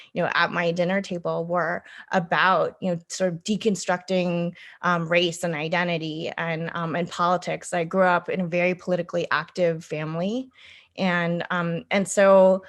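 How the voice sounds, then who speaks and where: Reflective woman giving interview answers. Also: on the low side. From United States. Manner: reflective, halting, pieces thoughts together in short phrases. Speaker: interview guest, city councilwoman.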